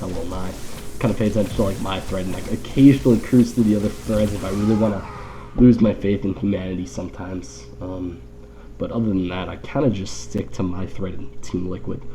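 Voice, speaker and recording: A man's voice: lowish voice, dark tone, slight background noise, rough recording. A man with a nasal, congested voice from allergies gives casual live game commentary with wry, rambling, joking asides. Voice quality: nasal, stuffed-up.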